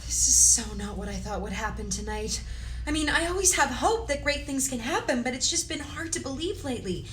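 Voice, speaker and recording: A woman's voice: sits high, expressive, a little fast, a bit bright, roomy sound, a little hiss or hum. A woman playing a hopeful, chatty, devout small-town young singer, earnest and lightly comic, in a script table read of a musical comedy.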